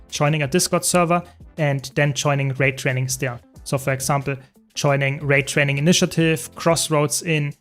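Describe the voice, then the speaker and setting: A young man from Germany, speaking English with a German accent, answers chat questions as he plays. His voice sits slightly high and stays relaxed and talkative, and soft game music plays quietly behind him. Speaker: streamer. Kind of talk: casual live-stream chat.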